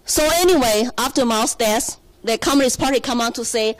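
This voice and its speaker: A woman's voice: on the high side, fairly quick, low-quality recording. A middle-aged woman from China with a Chinese accent, telling her personal story to a symposium audience in a candid, conversational way. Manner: candid, conversational, laughs at herself. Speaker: speaker. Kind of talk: personal story at a public talk. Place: conference room. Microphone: standing at a lectern.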